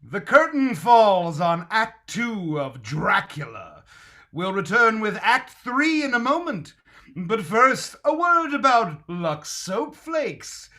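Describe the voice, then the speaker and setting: Man as a bright, measured old-time radio announcer. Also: high-pitched, very animated, exaggerated voice. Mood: polished. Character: genial, dramatic 1940s radio announcer and pitchman.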